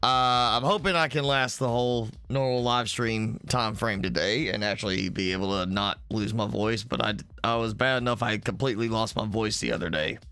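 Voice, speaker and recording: A man with a head cold hosting a casual live stream, clearing his throat often and explaining things in a rambling way with lots of fillers. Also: fairly low pitch, fairly even intonation, moderate pace, hardly any pauses, bright, forceful tone, no room sound. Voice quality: throat-clearing, slightly stuffy. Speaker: game streamer.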